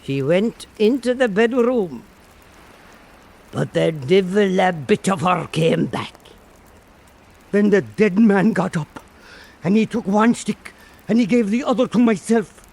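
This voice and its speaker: A man playing an old Irish island storyteller, telling an eerie fireside tale in a deliberate, theatrical way for radio drama. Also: high-pitched, lively intonation, vocal fry, a little mellow, exaggerated voice. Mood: eerie, measured.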